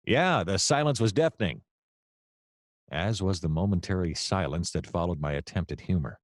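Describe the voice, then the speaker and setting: An actor playing a wry, deadpan private detective who narrates a noir comedy radio play in wordy style. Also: male voice, sits low, normal speed, no room sound, studio quality. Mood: jokey, animated. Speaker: narrator and lead character.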